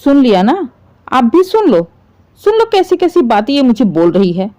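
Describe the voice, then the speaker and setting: A woman's voice: very animated, moderate pace, mellow. A woman voicing a bitter, possessive middle-aged mother-in-law in a dramatic story, scolding and complaining. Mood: aggrieved. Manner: shrill indignant complaining, sarcastic.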